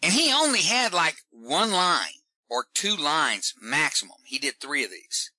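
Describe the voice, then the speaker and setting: A man with a Southern Texan accent, a comedian giving folksy, animated advice in an interview, often repeating words as he starts a sentence. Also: on the high side, measured pace, no echo, poor audio. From Texas. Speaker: stand-up comedian guest. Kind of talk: casual interview talk.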